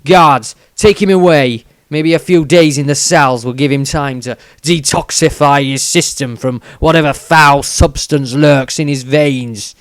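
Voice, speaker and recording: A man's voice: a little high, a little slow, few pauses, clear, resonant tone, dry recording, lightly acted. A man voicing an angry old halfling father who scolds and commands in a fantasy story reading. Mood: stern, commanding.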